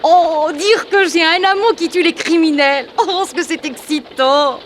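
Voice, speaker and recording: An actress playing a flirtatious, cajoling mistress in a gushing, familiar way, in the style of a 1960s French radio drama. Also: female voice, extremely high pitch, a little slow, a little hiss or hum. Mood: flirtatious, excited. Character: flirtatious, coaxing mistress with a scheming streak. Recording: old radio serial with music behind.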